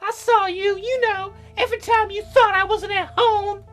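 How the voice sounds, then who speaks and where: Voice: very high voice, steady tone, moderate pace, fairly bright tone, pitched above the performer's own voice. A gamer voicing a bitter, self-righteous wife in a visual novel. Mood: cold, bitter. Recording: music behind.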